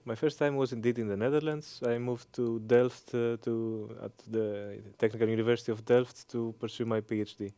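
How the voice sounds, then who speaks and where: A man in his thirties with a Greek accent, answering interview questions with many hesitant pauses and fillers. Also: mid-range voice, steady tone, warm tone, clean tone, no echo. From Greece. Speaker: interview guest, engineering professor.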